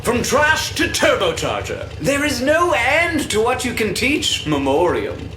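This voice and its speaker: Pompous scientist pitchman, high bright voice, theatrical delivery, echoing hall. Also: huge pitch swings, measured pace, soft background music, heavily acted. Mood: proud, grandiose. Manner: theatrical, grandiose, salesmanlike. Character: pompous male scientist showman unveiling an invention. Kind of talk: scripted audio drama stage presentation. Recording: roomy, echoing hall sound.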